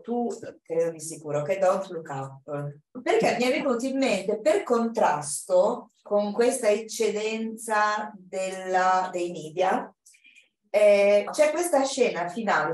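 A woman hosting a seminar, commenting informally and hesitantly as she thinks aloud in a classroom. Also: mid-range voice. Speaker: lecturer hosting a guest. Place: classroom, indoors. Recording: echoey classroom sound.

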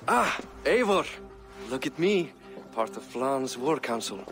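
A wry Viking chieftain. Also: male voice, mid-range voice, sing-song, slow pace, music in the background. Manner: wry, easygoing. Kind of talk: video game cutscene acting.

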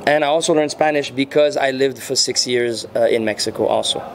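A man in his thirties speaks English with a Quebec French accent, French being his first language. He talks slowly and thoughtfully, with many ums, into handheld mics at an indoor venue. Faint noise hangs behind him. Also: medium pitch. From French-speaking Canada. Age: 30s. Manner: unhurried, searching for words, frequent fillers. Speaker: interviewee, muralist and rapper. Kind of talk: casual interview answers. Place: indoor venue before a painted backdrop. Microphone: handheld mics held near the chin.

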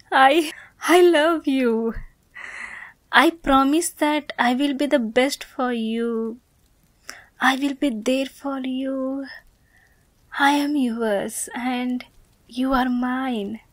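A woman playing a teasing, affectionate young woman in love in an ASMR romance roleplay, speaking softly and playfully, at times breathily. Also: high voice, fairly even intonation, drawn-out words, pauses often, dry recording, full character voice. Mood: happy, loving.

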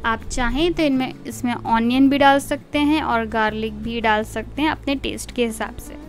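A woman with a high, light voice talks viewers through a recipe step by step in a calm, explanatory tone. Her voice-over sits over soft background music. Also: moderate pace. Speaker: cooking channel host.

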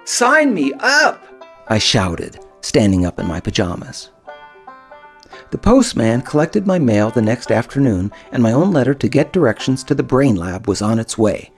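A man reading first-person audiobook narration in an expressive, dramatic storytelling manner. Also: mid-range voice, normal speed, faint music behind.

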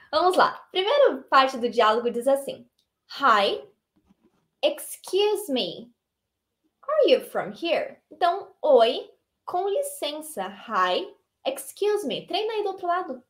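High-voiced Brazilian woman teaching English. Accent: Brazilian Portuguese. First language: Portuguese. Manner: lively, encouraging, reads English lines slowly. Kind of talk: online English lesson. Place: small indoor room.

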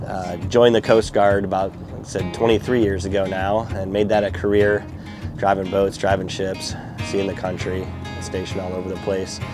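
Guy in his 40s showing folks around on his boat, easygoing, lowish voice, music playing under it. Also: normal speed. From Lower Michigan. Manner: relaxed, plain-spoken, with fillers. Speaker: boat tour operator. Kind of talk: casual interview, guiding a tour. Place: outdoors on a small boat on open water.

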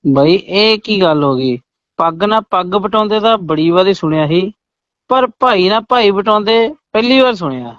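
A man's voice: high-pitched, lively intonation, normal speed, a bit bright, dry recording. A man with a Punjabi accent on a comic phone call, answering in protest and repeating himself. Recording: dull, lo-fi phone call recording.

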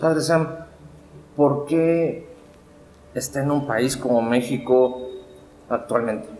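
A man's voice: medium pitch, slow pace, warm tone, slight background noise. A male journalist asking formal interview questions and addressing the guest by title. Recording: echoey room with long reverb, faint ambience.

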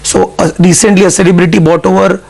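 A middle-aged man, explaining company plans in a measured, businesslike way in a news interview. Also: high voice, flat delivery, gravelly, a little mellow, poor audio. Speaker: CFO guest.

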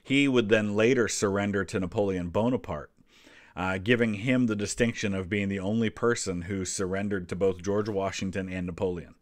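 A man's voice: lowish voice, fairly even intonation, moderate pace, no room sound. A middle-aged American man giving casual, chatty explanatory commentary in a small indoor studio. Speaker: host reacting to a history quiz video.

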